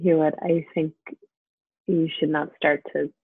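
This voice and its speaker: An actress playing a gentle, wistful woman, speaking softly and earnestly in a measured, intimate, naturalistic drama style. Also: sits low, little pitch movement, husky, dry recording, full character voice. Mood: wry, gentle. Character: gentle, wistful actress talking to an old friend by phone. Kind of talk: intimate naturalistic audio drama acting and monologue. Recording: tinny, band-limited phone line.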